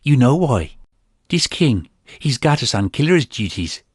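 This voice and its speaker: A man's voice: mid-range voice, big pitch swings, measured pace, slightly rough, no room sound, studio quality, voice pushed up. A man voicing an angry young male rogue swordsman who talks in a heated, insistent way.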